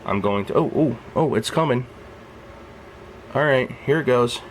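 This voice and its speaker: A young man with a very low voice, just out of bed, rambling softly in a casual vlog as he films his snake at home. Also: unhurried, a little hiss or hum, low-quality recording. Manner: rambling, soft-spoken, keeps his voice down. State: just woke up. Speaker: reptile keeper filming his snake. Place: at home beside a reptile rack.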